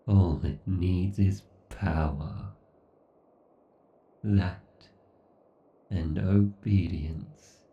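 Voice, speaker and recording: A muffled, distant-sounding recording carries a villainous AI god , acted by a man, obsessed and menacing. The voice is thick with gravel, brooding through a horror roleplay monologue with deliberate pauses between phrases. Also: low-pitched, exaggerated voice. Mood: bitter, cold. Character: obsessed, villainous male AI god ruling a scorched apocalypse.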